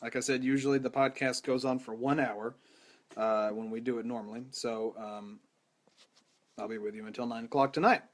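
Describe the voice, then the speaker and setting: A young man runs a casual live podcast stream from home into a studio microphone. His voice is hoarse and rattly, and he talks in loose, rambling runs full of restarts and self-deprecating asides, sitting at an ordinary middle pitch for a man. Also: steady tone, moderate pace. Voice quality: rattly, strained voice. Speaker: podcast host. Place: home room with mic.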